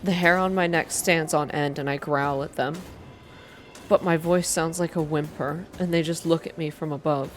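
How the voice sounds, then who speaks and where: A woman's voice: low-pitched, steady tone, moderate pace, soft background music. A woman hosting a reading channel, speaking in an ASMR style for her listeners. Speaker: video game reader and channel host. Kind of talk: ASMR narrated reading and casual updates to viewers.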